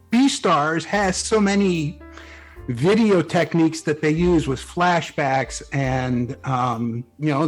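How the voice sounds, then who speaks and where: A man with a fairly high voice speaks as a guest author in a casual interview. He answers conversationally, with music in the background. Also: low-quality recording. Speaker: guest author, fan fiction writer.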